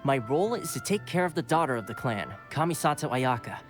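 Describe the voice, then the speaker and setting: A male actor plays an easygoing young fixer and loyal retainer in a video game. His delivery is courteous and lightly teasing, with lively intonation. Clear music runs behind the voice. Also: medium pitch, fast talker, close to an everyday voice. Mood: genial, explanatory.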